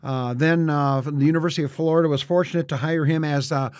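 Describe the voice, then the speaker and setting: Higher-voiced male host, dry sound. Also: fairly even intonation, fast, darkish tone, clear, resonant tone, no room sound. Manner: hesitant, frequent "uh" fillers. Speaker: webinar host introducing the speaker. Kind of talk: formal introduction.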